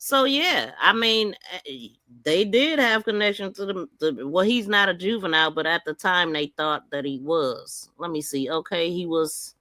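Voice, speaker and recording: A woman's voice: on the low side, expressive, normal speed, sharp tone, dry recording, clean studio sound. A woman giving casual live commentary as a podcast host, talking in a folksy, emphatic, conversational way.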